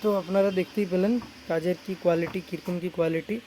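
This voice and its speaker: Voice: mid-range voice, fairly even intonation, fast talker. A speaker informally showing repair work as a technician, often repeating themselves and adding little check-in tags. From India. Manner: repetitive, frequent check-in tags. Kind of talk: informal product showcase vlog. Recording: clear background noise.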